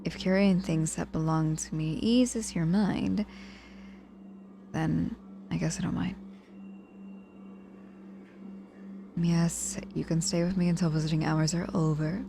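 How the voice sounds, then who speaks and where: A woman's voice: on the low side, steady tone, measured pace, vocal fry, dark tone, slight background noise. A woman playing a sardonic but caring young witch in an intimate ASMR audio roleplay, speaking casually and teasingly with feigned reluctance. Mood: reluctant, teasing.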